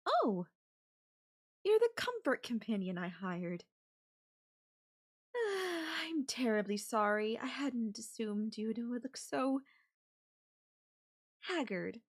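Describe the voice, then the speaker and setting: A woman's voice: a little high, very animated, takes it slowly, pauses often, heavily acted. A woman playing a controlling, wealthy CEO in an audio roleplay, speaking in an imperious, formal and coldly condescending manner. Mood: disdainful, commanding. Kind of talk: ASMR audio roleplay acting.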